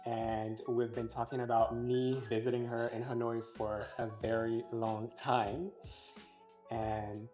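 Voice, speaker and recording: A woman's voice: very deep voice, little pitch movement, takes it slowly, warm tone, soft background music. A young woman hosting a travel vlog, talking casually and hesitantly with restarts. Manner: friendly, hesitant, lots of "like" and restarts. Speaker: vlogger host.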